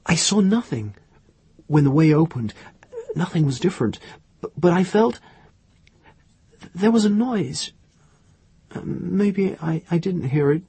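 A man voicing a shy, earnest young woman in a storybook, halting and uneasy. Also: a little high, very animated, a little mellow, breathy edge, no echo, pitched above the performer's own voice, exaggerated voice. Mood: hesitant, earnest. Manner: hesitant, halting.

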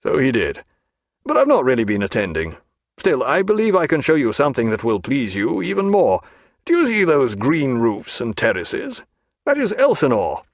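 A man voicing a calm, genial naval captain in an audiobook, assured and courteous, with old-fashioned naval phrasing. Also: mid-range voice, normal speed, no echo, clean studio sound.

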